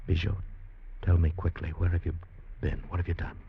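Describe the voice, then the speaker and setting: An actor playing a grave, steady convict who narrates an adventure in measured, tense old radio style. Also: male voice, sits low, creaky, slightly rough, heavily acted. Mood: low, urgent. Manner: measured, tense, deliberate storytelling. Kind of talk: 1940s-50s radio drama narration and acting. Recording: muffled old radio broadcast, music behind.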